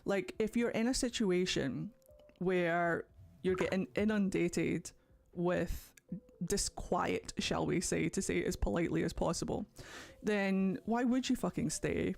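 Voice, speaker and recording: A Scottish woman chatting on a stream, measured and choosing her words carefully. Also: on the low side, creaky, a little mellow. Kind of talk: casual stream chat.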